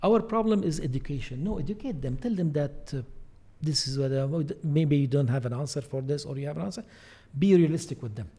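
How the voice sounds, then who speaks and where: A man's voice: on the high side, fairly quick, hardly any pauses, dark tone, slightly rough. A man, an Arabic speaker, giving opinions in conversation and emphatically repeating key phrases. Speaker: interview guest.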